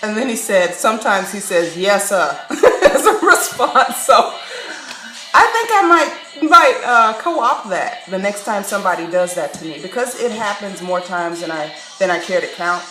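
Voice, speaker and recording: A woman from Chicago vlogging straight to camera, candid and wry, with frequent laughs. Her voice rings in a roomy, echoing space, and faint music sits low behind her. She is mid-pitched for a woman, with lively asides. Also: normal speed. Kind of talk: casual storytelling monologue. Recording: echoey room, faint background music.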